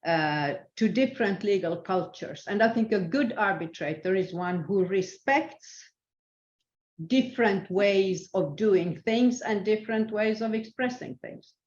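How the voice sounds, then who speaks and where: An older woman whose native language is Swedish, answering interview questions as a senior guest in a measured, halting, thoughtful way. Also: mid-range voice, expressive, darkish tone. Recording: roomy echo, dull lo-fi sound.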